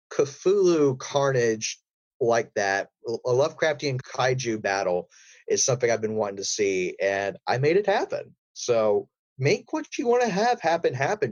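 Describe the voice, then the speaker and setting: A man speaking as a podcast guest, giving advice in casual, emphatic, rambling explanations. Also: medium pitch. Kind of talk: casual interview chat with advice. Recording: roomy, slightly dull audio.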